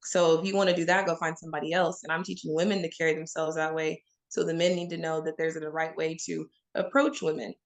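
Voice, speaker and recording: A woman's voice: sits low, flat delivery, quick pace, hardly any pauses. Frank, emphatic woman from Oklahoma. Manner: frank and emphatic, addresses listeners as y'all. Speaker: guest. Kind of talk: candid interview talk.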